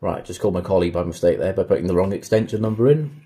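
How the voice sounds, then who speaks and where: A man's voice: sits low, racing, dark tone, husky. A man narrating a casual hands-on tutorial as an installer, thinking aloud in an informal way. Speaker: installer demonstrating intercom setup.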